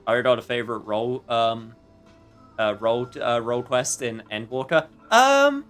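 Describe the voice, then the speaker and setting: A man's voice: mid-range voice, animated, normal speed, fairly bright tone, soft background music. A man giving casual, wry commentary as he livestreams a game.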